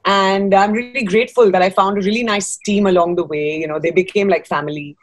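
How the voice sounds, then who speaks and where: A woman's voice: medium pitch, fairly even intonation, a little fast, no echo. A woman in her thirties from Dubai, giving conversational interview answers on a video call from a room at home. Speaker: guest, fashion designer.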